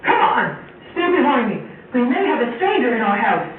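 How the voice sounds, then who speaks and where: A man's voice: extremely high pitch, very animated, a little fast. A man voicing a protective, scolding father bear in a children's puppet show, in an exaggerated manner. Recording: very echoey, tinny, distant, faint noise.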